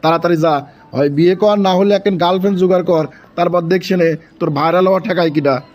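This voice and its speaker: Man doing a high-voiced, scolding cartoon holy man. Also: fairly even intonation, fast talker, a little mellow, close to an everyday voice. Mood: bossy advising. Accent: Bangladeshi. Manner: preachy, chiding, repeats commands. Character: male forest holy man, scolding, exasperated comic guru. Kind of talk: exaggerated comic cartoon acting.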